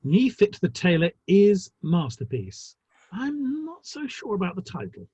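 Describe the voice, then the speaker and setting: A man as a high, husky Victorian theatre manager. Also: huge pitch swings, measured pace, warm tone, exaggerated voice. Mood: dryly critical. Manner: dry, considered, tactfully critical. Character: measured, businesslike Victorian theatre manager, male. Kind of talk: dramatic play reading. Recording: dull, roomy online-call audio.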